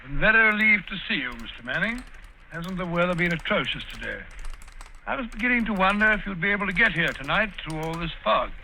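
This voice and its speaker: A genial, bumbling older doctor in a 1940s radio play. His voice sits fairly high and sounds very bright and sharp. He talks in a chatty, fussy way. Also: expressive, quick pace, creaky, slight background noise, full character voice, low-quality recording. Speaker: sidekick in a radio drama.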